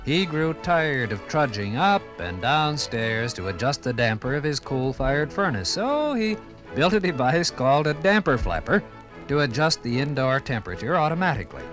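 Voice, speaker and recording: Casting: male narrator, mid-pitched, formal 1950s radio documentary storytelling, faint music. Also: very animated, moderate pace.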